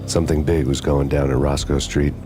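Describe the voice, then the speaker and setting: An actor playing a world-weary undercover cop who narrates a noir story in a dry, sardonic way. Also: male voice, very deep voice, flat delivery, brisk. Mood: grim. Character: grim hard-boiled male undercover cop narrating. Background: music.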